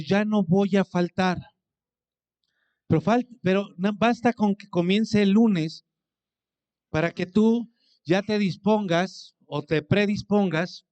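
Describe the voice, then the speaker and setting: A man's voice: high voice, a little mellow. A man preaching a sermon as pastor, speaking conversationally, asking his congregation questions and acting out what people say to him.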